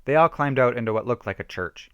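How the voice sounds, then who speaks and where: Guy doing a homemade dramatic horror story reading, theatrical, mid pitch, really dry sound. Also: lively intonation, fast talker, a bit bright, smooth, no echo, dull audio.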